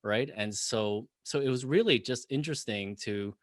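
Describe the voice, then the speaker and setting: A man from Canada, speaking as a panelist over a video call, animated and expressive and quick to laugh. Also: mid-range voice, dry recording, clean studio sound. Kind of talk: panel discussion.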